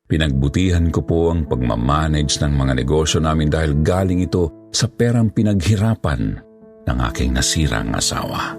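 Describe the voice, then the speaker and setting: A man narrating a scripted horror story from a letter sender, in a solemn, dramatic storytelling manner. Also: rumbling low.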